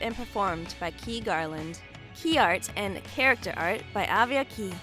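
A woman reading scripted credits and announcements for an audio drama in a friendly, clear way. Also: mid-range voice.

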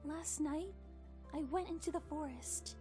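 A woman voicing a timid, earnest young cartoon pony princess, gentle, polite and a little hesitant. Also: sits high, unhurried. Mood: hesitant, confiding. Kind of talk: animated fan drama acting. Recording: music under the voice.

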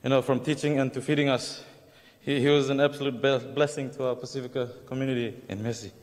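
A man's voice: medium pitch, level tone, fast talker, long reverb tail. Young Fijian man giving an acceptance speech. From Fiji. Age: young adult. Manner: formal and earnest, with light humor. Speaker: award recipient. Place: large hall at an awards ceremony.

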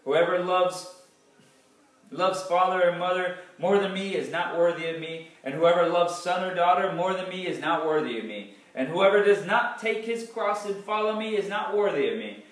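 A man in his thirties reading scripture aloud in a dramatic, emphatic way, pausing deliberately between phrases. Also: a little high. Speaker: Bible reader. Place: small, sparsely furnished living room indoors. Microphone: distant camera microphone. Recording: echoey room, far from the camera mic.

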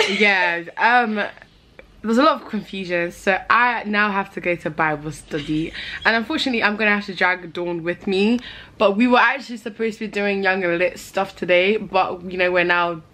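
A woman in her twenties vlogging casually to viewers, chatty and quick to laugh. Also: mid-range voice. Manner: chatty, laughs often, addresses viewers directly. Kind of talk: casual vlog chat with a friend. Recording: busy crowd and loud background noise.

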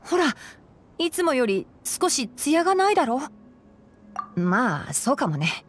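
A woman playing a calm, principled young male fox-eared forest ranger and scholar in a Japanese video game, speaking in a measured, articulate way. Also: sits high, exaggerated voice. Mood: casual.

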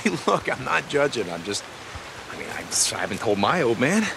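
A man's voice: medium pitch, sing-song, a little fast, vocal fry, background noise. An American man playing an easygoing, teasing outlaw biker in his thirties in a video game, informal and profane. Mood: bantering. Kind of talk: naturalistic video game acting.

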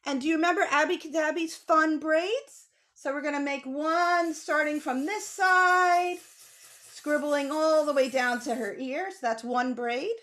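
A middle-aged woman leading a drawing lesson for children, explaining simply with animated emphasis on key words. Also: high-pitched, measured pace. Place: small home room with bookshelves. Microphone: computer mic on a video call.

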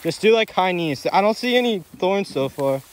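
Young man, American accent, higher-pitched voice, casual vlog banter, slight outdoor noise. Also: lively intonation, moderate pace. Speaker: vlogger on a fishing trip. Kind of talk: casual banter among friends while hiking. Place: outdoors in overgrown wet woodland. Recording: noisy outdoor action recording.

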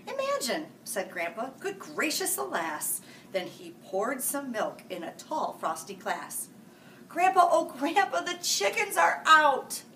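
Lively woman reading aloud to kids. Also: measured pace. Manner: sing-song, animated read-aloud with sudden loud, high character lines. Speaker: school staff reading buddy. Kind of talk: children's picture book read-aloud. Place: small room, seated before a green-screen farm backdrop. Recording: distant mic with faint hiss.

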